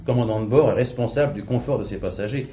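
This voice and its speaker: A wry, easygoing airline pilot played by a male actor in stage drama. He speaks quickly in a fairly low voice, on a muffled old soundtrack. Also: dark tone, a little hiss or hum, lightly acted. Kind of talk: French television theatre.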